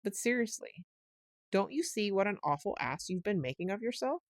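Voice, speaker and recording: A woman's voice: medium pitch, animated, moderate pace, no room sound, studio quality, lightly acted. A woman voicing a stern male water rat who lectures his friend in a scolding, emphatic way. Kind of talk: storybook reading.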